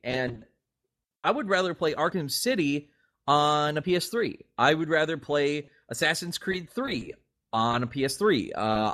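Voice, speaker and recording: A man with a fairly high voice, chatting as a podcast co-host. He is opinionated and animated, with a sarcastic, skeptical edge to his casual delivery.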